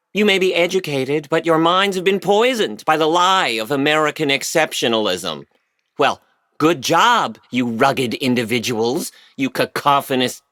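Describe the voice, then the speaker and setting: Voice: medium pitch, fairly bright tone, no room sound, studio quality, lightly acted. An actor playing a menacing, haughty party official in an audio play, with a pompous, theatrical and mocking delivery. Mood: imperious.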